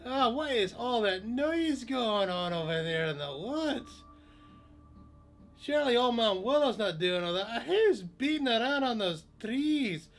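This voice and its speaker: A man's voice: very high voice, expressive, measured pace, exaggerated voice. A man voicing a grumbling fairy king who was woken from his nap. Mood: grumpy. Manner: grumbling, storytelling aside.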